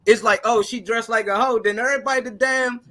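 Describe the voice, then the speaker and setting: A high-voiced man talks in a casual debate as one of a show's panel. His tone is bright and forward, and he makes his case emphatically. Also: steady tone, fast talker. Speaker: talk show panelist.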